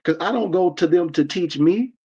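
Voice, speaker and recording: A man's voice: high voice, normal speed, mellow, breathy edge. An American man in his forties hosting a livestream discussion, talking in a preachy, emphatic way with many restarts. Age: 40s. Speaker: discussion host. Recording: dull lo-fi stream audio.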